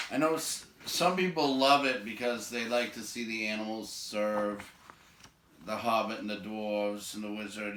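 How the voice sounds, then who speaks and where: A man's voice: fairly low pitch, fairly bright tone, slight background noise. A man reading a storybook aloud as host, with casual commentary along the way. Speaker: read-aloud host and reader. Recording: roomy, echoing home recording.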